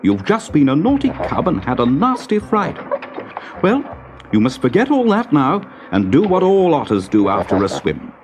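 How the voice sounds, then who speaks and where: Man voicing a firm mother otter, pitched up. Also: huge pitch swings, normal speed, darkish tone, faint music behind. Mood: gently scolding. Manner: firm, instructive. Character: firm, protective mother otter, scolding yet caring. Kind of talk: children's storybook character reading.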